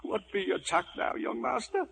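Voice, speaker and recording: A half-crazed old castaway in an adventure drama, his cracked voice very high and swooping. He is skittish and cagey, drawling in rustic pirate talk over a slightly noisy old recording. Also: very animated, normal speed, creaky, fairly bright tone, heavily acted. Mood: sly. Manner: rustic pirate speech, quoting himself aloud. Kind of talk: dramatized adventure story acting.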